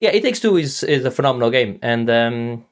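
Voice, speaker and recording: Fast-talking Portuguese man. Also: medium pitch, very animated. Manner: fast, enthusiastic, repeats words while thinking. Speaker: podcast co-host. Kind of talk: casual podcast chat about games.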